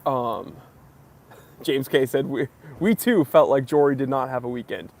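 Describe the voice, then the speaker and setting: A man's voice: medium pitch, a little slow, creaky, darkish tone, slight background noise. Young american guy chatting on a livestream, reads comments, jokey, bit wheezy from a cough. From United States. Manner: chatty and joking, greets viewers by name. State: slightly wheezy, recovering from a cough. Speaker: livestream host. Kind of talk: casual live Q&A chat, reading comments.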